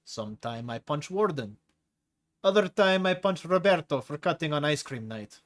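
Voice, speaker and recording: A man's voice: on the high side, expressive, moderate pace, natural voice. A man voicing a huge, clawed male tiger-like prisoner who speaks broken English in a thick accent and boasts about his fights. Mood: boisterous. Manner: boastful, relishing violence.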